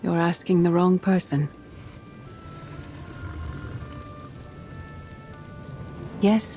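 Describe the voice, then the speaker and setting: A woman voicing a solemn, weary female Jedi master in a video game, speaking in a measured, grave, cryptic way. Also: fairly low pitch, warm tone. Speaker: video game character voice actor. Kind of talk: scripted dramatic game dialogue.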